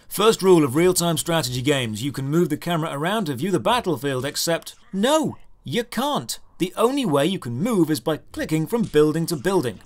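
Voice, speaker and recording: A man narrating a scripted comedic video game review as its host, with a sarcastic, exasperated and emphatic delivery. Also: a little high.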